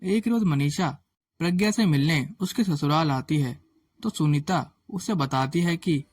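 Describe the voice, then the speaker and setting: Slightly high-voiced male storyteller. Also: a little slow, darkish tone, no room sound, clean studio sound. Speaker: narrator. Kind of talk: scripted moral story narration. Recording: faint background music.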